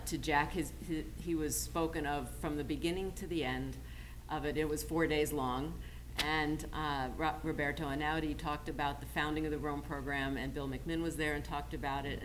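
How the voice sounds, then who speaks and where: A very low-voiced older woman gives an informal tribute speech. She speaks haltingly, with many fillers and restarts. Steady background noise runs clearly underneath her voice. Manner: warm, halting, full of fillers.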